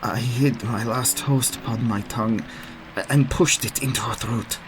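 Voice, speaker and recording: An actor playing a devout old professor and vampire hunter in a radio play, speaking earnestly and formally. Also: male voice, medium pitch, unhurried, lightly acted. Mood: weary. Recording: faint background noise.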